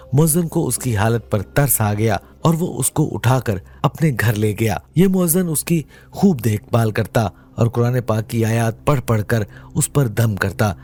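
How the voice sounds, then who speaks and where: A man's voice: mid-range voice, warm tone. A man reading a scripted religious moral story in an earnest, dramatic way, addressing his listeners directly. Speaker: narrator of religious moral stories. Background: background music.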